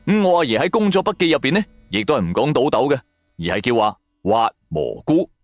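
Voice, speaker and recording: A Cantonese man narrates a dramatised first-person tale. His delivery is colloquial and animated, with pitch that moves a lot, recorded close and dry over music. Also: a little fast, dry recording. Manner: colloquial, expressive. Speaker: storyteller.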